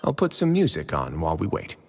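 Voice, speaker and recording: A calm, courteous warden. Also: male voice, sits low, long reverb tail, music in the background, exaggerated voice. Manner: measured, polite, formal.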